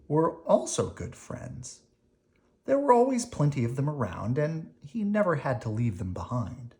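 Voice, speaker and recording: Children's read-aloud host, expressive storybook delivery, unhurried pace, swooping pitch, dramatic emphasis, explanatory asides. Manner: dramatic emphasis, pausing between phrases.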